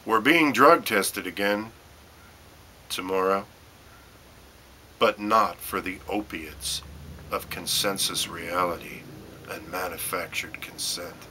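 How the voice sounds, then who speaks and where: Deep, slow, sharp-edged male poetry reader. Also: a little hiss or hum, poor audio. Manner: deliberate, short phrases with pauses and emphatic stresses. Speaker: poet reading own work. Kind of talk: dramatic spoken-word poetry reading.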